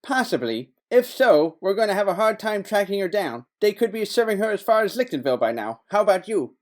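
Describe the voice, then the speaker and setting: A man's voice: sits high, big pitch swings, brisk. An actor playing a male detective's partner in a noir audio drama, casual, sardonic and wisecracking. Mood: wry, matter-of-fact.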